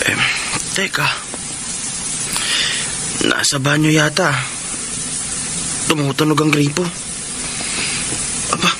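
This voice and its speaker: An actor playing a sly, lecherous adult son in a Tagalog radio melodrama, with an insinuating manner. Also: male voice, a little high, background noise.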